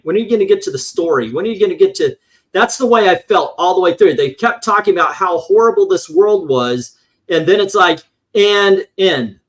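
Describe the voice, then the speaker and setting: Rambling man with a higher-pitched voice. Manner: rambling, swears, acts out his exasperated reactions. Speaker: comic book reviewer on a panel show. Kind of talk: casual opinionated review chat.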